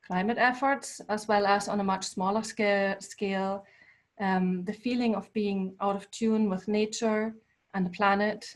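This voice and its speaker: German-native woman talking through her art. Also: mid-range voice. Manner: thoughtful, with frequent ums. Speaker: artist giving a talk. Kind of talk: presentation to a small audience. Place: local climate cafe event.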